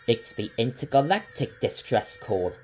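A man's voice: medium pitch, big pitch swings, unhurried, gravelly, mellow, soft background music, voice pushed up. A man voicing a commanding male spaceship captain giving orders.

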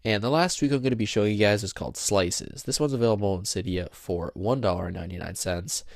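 A young man talks through a phone tutorial at a table, his voice low and a little gravelly. He explains each step plainly, with fillers and the odd embarrassed chuckle. The sound is dry, with no room echo. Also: quick pace. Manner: chatty, explains step by step with fillers and small self-laughs. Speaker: tech tutorial host. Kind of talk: casual screen-demo walkthrough. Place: indoors at a table, filming a handheld phone. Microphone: camera mic behind the phone.

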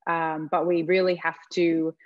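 Low-voiced woman giving encouraging advice. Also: little pitch movement, a little slow, dry recording. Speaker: panelist. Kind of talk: panel discussion over video call. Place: home room with plain walls. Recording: slightly dull video-call audio.

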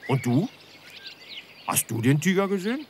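A man's voice: on the high side, sing-song, normal speed, slight background noise. A man voicing an earnest, loyal little bear in a children's audio play. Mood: questioning.

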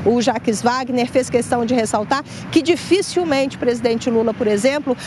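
A middle-aged woman giving a live outdoor news report on a handheld mic, steady and informative with occasional fillers. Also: high voice, slight background noise. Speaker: field reporter. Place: outdoors at a government palace plaza.

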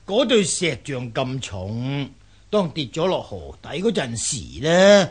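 A man's voice: mid-range voice, huge pitch swings, takes it slowly, husky, full character voice. An actor playing a confident, amused, folksy river man in a radio drama, drawing out his explanations with many interjections. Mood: confident explaining. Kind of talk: Cantonese radio drama acting.

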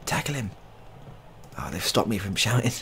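A man in his thirties with a low, creaky voice comments on a game he is playing. His delivery swings up and down in pitch as he mutters, repeats himself and swears mildly in mock exasperation. It is recorded close in a small room. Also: big pitch swings, moderate pace, noisy background. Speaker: gaming video creator. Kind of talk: casual joking game playthrough commentary.

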